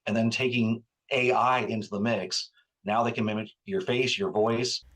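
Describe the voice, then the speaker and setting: A man's voice: fairly low pitch, steady tone, moderate pace, a bit bright, low-quality recording. A man, a professor, explaining things as an expert in a news interview.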